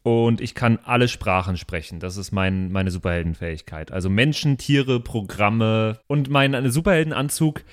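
A man's voice: on the low side, normal speed, hardly any pauses, no room sound, clean studio sound. A man describing things casually as a player in a tabletop game. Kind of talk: casual chat.

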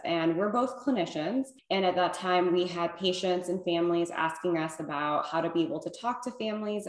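Earnest low-voiced woman author, echoey room. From Illinois, USA. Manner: earnest, thoughtful, many fillers. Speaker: author and clinical psychologist guest. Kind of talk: interview answers.

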